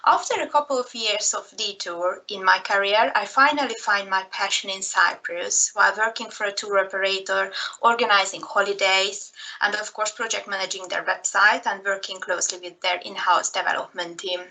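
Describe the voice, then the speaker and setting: A woman's voice: medium pitch, fairly even intonation, moderate pace, sharp tone, poor audio. A woman from Hungary in her thirties with a Hungarian accent, giving a recorded slideshow talk in a careful manner with frequent fillers. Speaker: presenter.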